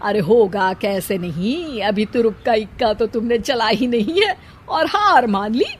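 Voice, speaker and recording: A woman's voice: fairly high pitch, animated, a little slow, a little hiss or hum. A woman playing a sly, gossipy older neighbour who stirs trouble with a familiar, knowing manner in a cartoon moral story. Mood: sly, egging on. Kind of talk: dramatized Hindi moral story dialogue.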